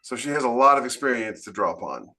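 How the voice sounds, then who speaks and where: Male podcast host, casual interview delivery, very bright and forward tone. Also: mid-range voice, very animated, fast talker.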